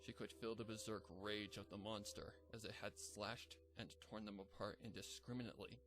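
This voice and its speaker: Man reading a dark story, faint music. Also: lowish voice, level tone. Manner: measured, somber reading. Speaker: fanfic narrator. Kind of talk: scripted story narration.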